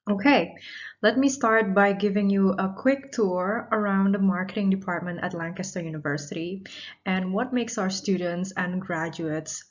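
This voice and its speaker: A woman in her thirties, originally from Indonesia, giving a recorded university lecture in a measured, explanatory way. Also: fairly low pitch. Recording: slightly dull lo-fi audio.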